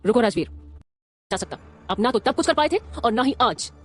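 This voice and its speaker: A woman's voice: on the high side, expressive, normal speed, breathy edge, faint music behind, voice pushed up. A woman doing a stern alarmed older man. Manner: sharp, reproachful.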